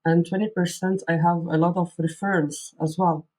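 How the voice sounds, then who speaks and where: A woman's voice: fairly low pitch, level tone, moderate pace, darkish tone, rough recording. Lebanese-raised woman, arabic first language, candid chatty interview answers, lots of uh's. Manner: earnest, candid, frequent fillers and restarts. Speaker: guest copywriter.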